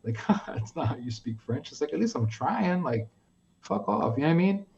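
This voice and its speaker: A man's voice: a little high, normal speed, vocal fry, highs cut off, a little hiss or hum. A man from New York telling a story with lots of swearing, acting out the dialogue as he goes. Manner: animated storytelling, heavy swearing, acts out dialogue. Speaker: podcast guest. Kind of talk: casual chat.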